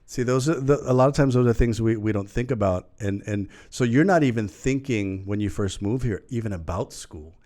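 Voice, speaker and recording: A middle-aged man hosting a casual talk show, lively and chatty, often laughing at himself. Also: lowish voice, a little fast, hardly any pauses. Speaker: host.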